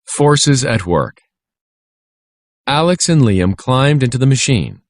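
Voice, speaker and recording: A man narrating a children's read-aloud in a deliberate, expressive scripted style. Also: mid-range voice, no room sound, clean studio sound.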